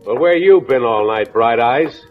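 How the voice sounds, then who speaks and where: An actor playing a cranky, sarcastic police captain in an old-time radio crime drama, gruff and dismissive. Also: male voice, mid-range voice, animated, normal speed, natural voice. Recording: dull vintage radio recording with music.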